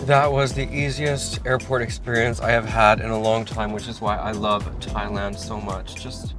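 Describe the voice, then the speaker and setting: A man's voice: lowish voice, flat delivery, moderate pace, over music. Chatty upbeat man vlogging while traveling. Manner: chatty and upbeat, talking to viewers. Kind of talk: casual travel vlog narration. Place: airport terminal, then car on the road.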